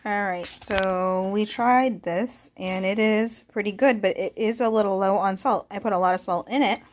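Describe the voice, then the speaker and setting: A woman vlogging casually as she cooks at home, chatty and relaxed with frequent ums, her voice a little congested. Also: medium pitch, normal speed. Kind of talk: casual kitchen vlog narration. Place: home kitchen.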